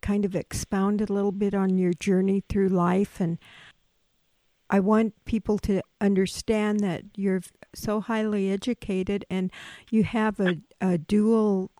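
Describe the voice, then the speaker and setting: A woman hosting a radio talk show, gentle and halting as she questions her guest. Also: medium pitch, level tone, dry recording. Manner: slow, gentle, halting with restarts. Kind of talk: casual radio interview.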